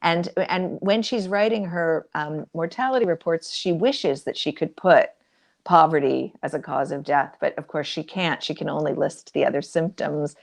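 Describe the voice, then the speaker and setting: A woman interviewer asking thoughtful, measured questions in a literary conversation. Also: deep voice, gravelly.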